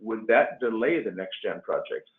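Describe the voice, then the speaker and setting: Male moderator, mid-range pitch, measured and halting formal questioning, roomy echo on telephone-grade audio. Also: animated. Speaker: webinar moderator. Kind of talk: formal policy interview questioning. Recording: echoey, tinny phone-like line.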